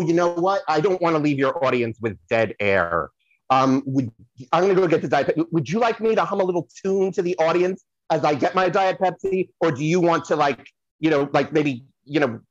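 Husky, flamboyant, swooping scatterbrained psychic played by a performer. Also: mid-range voice, expressive, dry recording, dull audio, close to an everyday voice. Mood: flustered, rambling. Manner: rambling, theatrical, repeats phrases, drawn-out dramatic words. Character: flamboyant, scatterbrained celebrity psychic, gushing and easily distracted. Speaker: podcast guest playing a psychic. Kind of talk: improvised comic audio play, podcast spoof.

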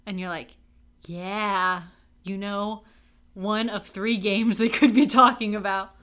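A woman's voice: mid-range voice, steady tone, takes it slowly, fairly bright tone. A young woman from Texas co-hosting a podcast, chatty and upbeat, joking about herself and laughing as she talks. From Texas, USA. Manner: chatty, upbeat, self-mocking, laughs often. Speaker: podcast co-host. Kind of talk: casual podcast chat.

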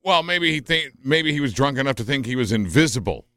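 Bright, animated man in casual discussion. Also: mid-range voice, fairly quick, no echo, studio quality. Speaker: talk show panelist.

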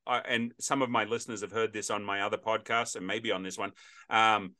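A man's voice: fairly low pitch, flat delivery, brisk, bright, forceful tone. A man in his fifties hosting a podcast interview, chatting in a rambling, storytelling way with frequent restarts. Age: 50s. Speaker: podcast host. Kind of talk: casual interview chat.

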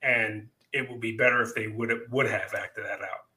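A man's voice: fairly low pitch, steady tone, normal speed, pushed and bright. A man in his fifties hosting a casual podcast chat, rambling and profane, with lots of fillers. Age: 50s. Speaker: podcast host.

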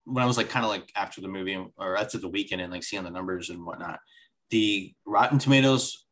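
A podcast co-host, a man with a somewhat low voice, chatting casually. He rambles through his thoughts and drops the occasional deadpan joke. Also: quick pace. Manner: rambling, with dry jokes. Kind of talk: casual chat.

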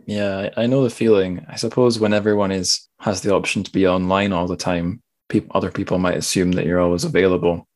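A man's voice: low-pitched, little pitch movement, fairly quick, hardly any pauses, a little mellow. A man hosting a podcast in a casual, chatty way, with self-deprecating, rambling asides. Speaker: podcast host. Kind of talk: casual spoken podcast intro and chat.

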